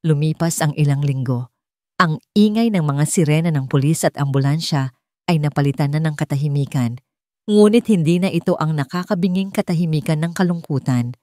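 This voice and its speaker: A woman's voice: sits low, dark tone, dry recording, clean studio sound. A woman with a Filipino accent reading a scripted dramatic story as a narrator. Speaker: storyteller of an audio love drama.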